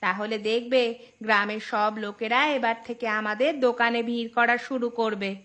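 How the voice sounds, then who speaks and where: Cartoon village wife, female actor, feisty and supportive, bright sharp voice on the high side. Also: fairly even intonation, moderate pace, natural voice. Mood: eager. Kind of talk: animated moral story dialogue.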